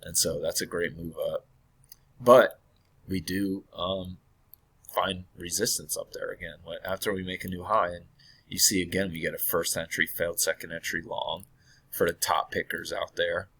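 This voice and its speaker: Man, deep-voiced, hoarse from illness, casual thinking-aloud chart review with throat clearing. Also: steady tone, normal speed, fairly bright tone. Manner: thinking aloud, hesitant with many fillers and self-corrections. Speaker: trading analyst.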